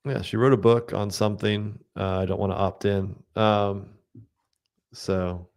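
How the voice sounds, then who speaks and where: A man's voice: fairly low pitch, steady tone, a little slow, darkish tone. A man co-hosting a podcast, plainly recounting articles and reading out comments, with many ums. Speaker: podcast co-host. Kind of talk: casual chat.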